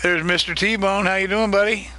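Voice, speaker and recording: A man hosting a live stream of a multiplayer farming game, chatting casually and greeting viewers by name, his voice getting a little hoarse. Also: sits high, steady tone, normal speed, bright, forceful tone. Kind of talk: casual live-stream chat while gaming.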